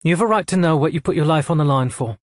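A man's voice: a little high, racing, no echo, clean studio sound, pitched above the performer's own voice, close to an everyday voice. A man voicing a composed, commanding female admiral in an audiobook, speaking in a clipped, authoritative way.

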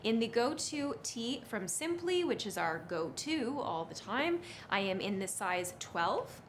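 Thirties woman, very lively intonation, effusive shopping-stream host, faint room noise. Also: fairly high pitch, a little slow. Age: 30s. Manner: animated, effusive. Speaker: presenter and model on a fashion shopping livestream. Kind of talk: live sales presentation, chatty. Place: indoor studio with brick wall, standing back from camera.

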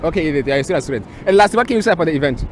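A man's voice: fairly high pitch, expressive, racing. A man in his twenties presenting a casual vlog and doing playful, teasing interviews outdoors with a handheld mic. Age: 20s. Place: open beach, outdoors. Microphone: small handheld mic. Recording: outdoor wind and ambient noise.

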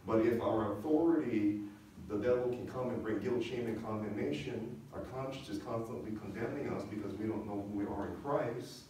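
Echoey, distant male preacher with low voice. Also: moderate pace, dark tone. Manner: conversational teaching with check-in questions and repetition. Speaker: pastor. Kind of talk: sermon. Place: church sanctuary, speaking from the stage. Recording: echoey church hall, distant mic, faint noise.

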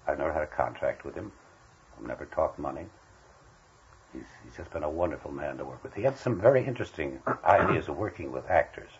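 An older man with an extremely low voice reminisces casually as an interview guest. He drives points home by repeating phrases. The tape is dull, with faint noise behind him. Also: lively intonation, a little fast. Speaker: veteran radio actor interviewed as guest.

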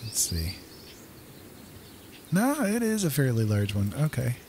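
A man's voice: medium pitch, huge pitch swings, a little slow, background noise. A man doing casual let's play commentary as a gaming host, thinking aloud as he plays. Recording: game music behind voice. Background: game music and outdoor nature sounds.

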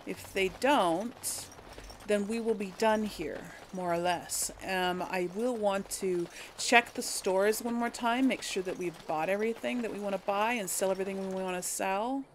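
A woman's voice: mid-range voice, normal speed, a little hiss or hum. A woman giving casual game commentary, thinking aloud and correcting herself as she addresses viewers. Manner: musing, hesitant self-correcting talk to viewers. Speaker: gamer hosting a role-play playthrough.

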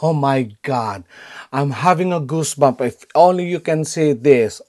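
A man's voice: on the high side, measured pace. A man in his thirties with a Filipino accent hosts a casual reaction vlog from his bedroom, gushing with frequent ums and exclamations. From Philippines. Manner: excitable, gushing, frequent "um" and "oh my God". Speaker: reaction video host. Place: bedroom at home.

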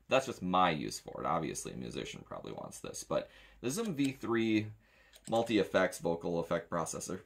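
A man's voice: sits low, vocal fry, a bit bright. An American man in his thirties giving a casual, rambling gear review as a sound tech, blunt and grumbling. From United States. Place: small indoor room with metal shelving.

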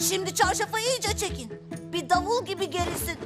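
A woman's voice: very high-pitched, animated, normal speed. A woman playing a brave, clever young Viking child in a dubbed children's cartoon. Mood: confident, commanding. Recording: loud music behind the voice.

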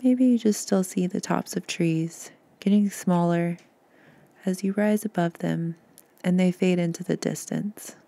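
A woman guiding a meditation in a soft, soothing, gentle voice, breathy at times, with unhurried pauses. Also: fairly low pitch. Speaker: podcast host and meditation guide. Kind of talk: guided meditation narration.

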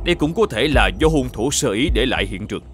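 A male actor plays a resolute magistrate in a cartoon folk tale. His mid-pitched voice moves widely in tone, formal and commanding, with music underneath. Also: lively intonation, a bit bright, close to an everyday voice. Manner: authoritative, measured, formal. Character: upright, determined provincial governor and magistrate.

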